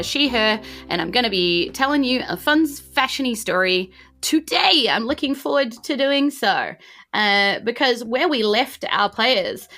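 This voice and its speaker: A woman's voice: a little high, bright, forceful tone. A woman with a New Zealand accent running a tabletop game, narrating informally and putting questions to the players. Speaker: dungeon master of a tabletop game podcast. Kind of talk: improvised game narration, casual chat.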